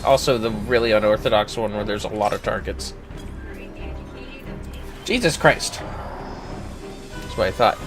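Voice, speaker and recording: A man's voice: lowish voice, measured pace, long pauses. A man hosting a livestream, casually commenting on a game and explaining how to play it. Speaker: livestream host, gamer. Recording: game music behind the voice. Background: game music.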